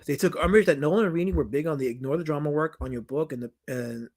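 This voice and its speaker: A man's voice: a little high, quick pace, a little mellow. A man with a New York accent hosting a livestream Q&A, rambling and emphatic as he answers viewers' questions. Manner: rambling and emphatic, with many restarts and repetitions. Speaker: documentary director hosting a livestream Q&A. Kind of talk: casual livestream chat, reading viewer comments.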